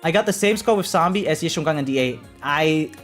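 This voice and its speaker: A man's voice: on the high side, animated, a little fast, soft background music. A man live streaming and chatting casually with viewers in a rambling, repetitive, slangy and profane way, often checking in with a tag question. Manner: frequently tags sentences with "right?". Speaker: variety game live streamer.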